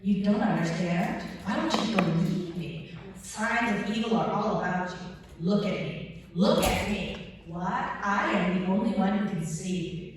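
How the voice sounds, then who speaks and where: A woman's voice: medium pitch, a little slow, lightly acted. A woman performs a desperate female would-be savior in a dramatic stage monologue. The delivery is urgent and imploring, with repeated pleas. It is heard from off in a long, echoing hall with faint noise. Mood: urgent pleading. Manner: urgent, pleading, repeats phrases. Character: desperate, frantic would-be savior pleading to be believed. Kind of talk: dramatic monologue read at a workshop. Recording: very echoey hall, distant mic, faint noise.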